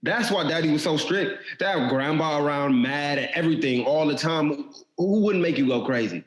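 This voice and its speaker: A man's voice: fairly high pitch, expressive, normal speed, close to an everyday voice. A young man acting in an audio drama as a brooding, insecure, artistic younger brother with an earnest, informal, wounded way of talking. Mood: animated. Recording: echoey, roomy sound.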